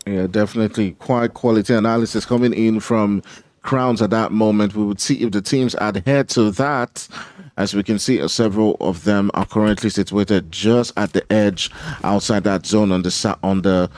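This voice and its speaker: A man's voice: on the low side, fairly even intonation, darkish tone, dry recording, studio quality. A man with a Nigerian accent doing live esports commentary, laid-back and joking. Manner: laid-back, joking, fills pauses with hums. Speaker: esports caster.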